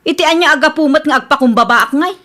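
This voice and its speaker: A woman's voice: sits high, fast talker, bright, forceful tone. A woman with an Ilocano accent playing a brash, combative village troublemaker in a radio drama. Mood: defiant.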